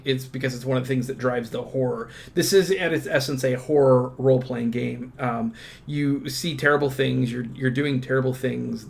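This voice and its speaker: A man with a creaky, gravelly voice giving a casual product walkthrough in a halting, self-interrupting way. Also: medium pitch. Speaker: unboxing presenter.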